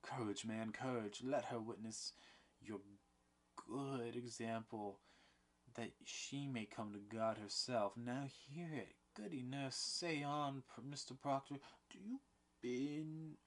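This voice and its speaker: A man's voice: steady tone, slow, a bit bright. A man voicing a stern, pompous older male judge who presses a prisoner for a confession, in a read-aloud play. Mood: insistent. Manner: insistent, officious, raised pitch.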